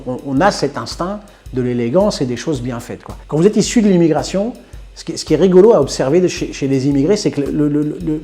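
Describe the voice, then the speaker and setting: A man's voice: fairly high pitch, dark tone. A man in his sixties from northern France, a restaurateur telling his family story in an interview, thoughtful and often repeating words as he searches for them. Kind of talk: reflective interview talk.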